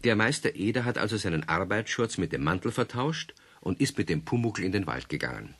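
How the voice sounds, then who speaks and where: A man narrating a children's radio play in a lively storytelling manner. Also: lowish voice, a little fast, husky.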